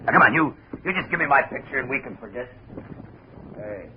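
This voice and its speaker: An actor playing a cocky, crooked young smooth talker, slangy and wheedling, in a 1950s radio drama. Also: male voice, mid-range voice, expressive, fairly quick, lightly acted. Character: cocky crooked young boyfriend, smooth-talking, turning panicky. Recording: muffled, hissy old radio recording.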